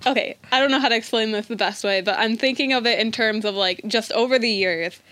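A woman's voice: fairly high pitch, brisk, a bit bright, dry recording. A woman of twenty, a podcast host, chatting casually and rambling with lots of "like". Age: 20s. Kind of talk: casual chat.

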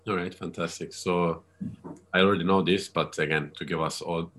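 Male interview host, low-pitched voice, courteous and measured, framing questions reflectively. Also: steady tone, a bit bright. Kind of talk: hosted interview.

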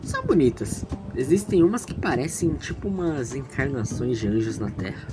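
An earnest, hesitant Brazilian teenage boy in an audio drama, acted by a woman, with a very low voice that swings in pitch. Music plays behind the self-doubting lines. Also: big pitch swings, moderate pace. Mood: casual, curious.

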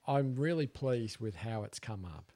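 A man's voice: medium pitch, lively intonation, measured pace, warm tone. A man with an Australian accent co-hosting a podcast, earnestly asking his guest questions in casual chat. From Papua New Guinea. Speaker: podcast co-host, interviewer.

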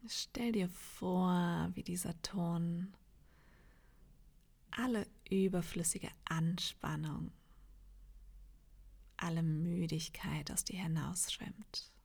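A woman leading a guided meditation in a soft, half-whispered, soothing voice, leaving long pauses between phrases. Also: lowish voice, steady tone, gravelly, slightly rough. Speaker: yoga nidra meditation guide.

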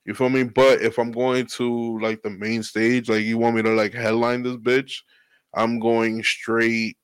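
A man's voice: medium pitch, flat delivery, unhurried, clean tone, no echo. A man talking as a podcast guest and DJ, laid-back and rambling, swearing freely in casual chat. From New York. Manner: laid-back, profane, rambling with "you know".

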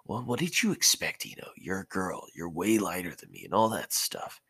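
A man's voice: low-pitched, wildly swooping pitch, normal speed, gravelly, fairly bright tone, husky, heavily acted. A man voicing a blunt, protective teenage ninja boy in an informal story reading. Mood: dry.

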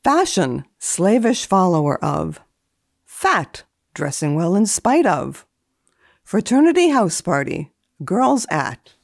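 A woman speaking as a guest columnist in a deadpan, theatrical manner, as if giving a dramatic reading. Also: on the low side, takes it slowly, gravelly, dry recording, studio quality. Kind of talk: dramatic reading of a book index and deadpan commentary.

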